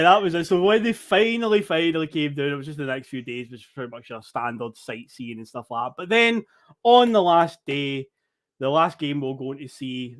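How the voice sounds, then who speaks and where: A man with a Scottish accent, a podcast guest telling a travel story in casual chat, animated and swearing freely. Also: medium pitch.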